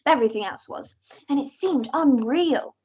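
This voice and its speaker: A woman's voice: high voice, animated, normal speed, a little mellow, slightly rough. A young English woman acting in an amateur radio play as a bossy, opinionated aunt recounting past events dramatically. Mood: opinionated storytelling. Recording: dull lo-fi home recording.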